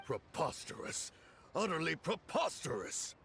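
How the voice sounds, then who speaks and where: An actor playing a haughty immortal beast in human guise, talking in pompous, archaic formal speech for a video game. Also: male voice, on the high side, sing-song, a little slow, vocal fry, a bit bright, a little hiss or hum, heavily acted. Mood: indignant.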